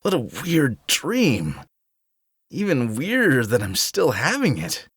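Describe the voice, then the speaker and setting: A man's voice: mid-range voice, wildly swooping pitch, slow, a bit bright, husky, dry recording, clean studio sound, heavily acted. A man playing a laid-back, cocky young cartoon hedgehog hero in an audio drama, talking in casual slang. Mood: bewildered, wry. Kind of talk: fan-made audio drama acting.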